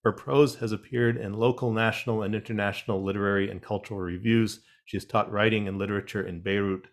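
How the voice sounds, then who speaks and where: A man moderating an online panel, reading guest introductions in a measured way with wry asides over a video call. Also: fairly low pitch, fairly even intonation.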